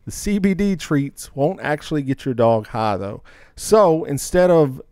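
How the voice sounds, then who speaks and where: A man's voice: fairly high pitch, sing-song, a little slow, darkish tone, smooth, no room sound, studio quality. A man co-hosting a casual podcast, emphatic and slangy, often repeating himself to press a point. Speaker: podcast co-host. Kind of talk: casual opinionated podcast chat.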